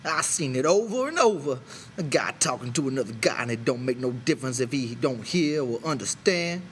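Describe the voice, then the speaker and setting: Guy doing a lonely bitter stable hand from an audiobook, high swoopy voice, keeps needling, some background noise. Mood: excited. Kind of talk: character voice in an audiobook reading.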